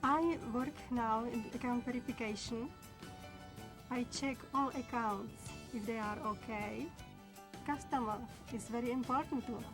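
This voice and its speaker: A woman's voice: a little high, a little slow. A woman from the Czech Republic with a Czech accent, speaking earnestly in simple phrases in a corporate promo interview. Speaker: account verification clerk. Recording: music underneath.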